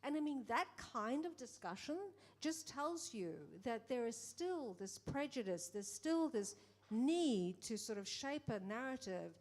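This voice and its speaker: Woman, middle-aged, producer, animated storyteller, restarts often. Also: a little high, unhurried. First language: English. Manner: animated, acts out quoted dialogue, many restarts. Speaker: film producer. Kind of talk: panel conversation.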